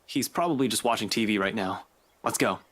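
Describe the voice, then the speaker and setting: An actor playing a shaken young detective in a visual novel, halting yet determined and brushing off concern. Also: male voice, on the low side, fairly bright tone. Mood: rattled, evasive. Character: rattled young male detective, guarded but determined.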